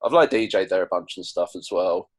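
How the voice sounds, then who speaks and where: A young man speaks in a very low, rough-edged voice. He chats casually as a musician answering interview questions, modest and self-deprecating in how he puts things. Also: brisk. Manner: self-deprecating, laughs between phrases.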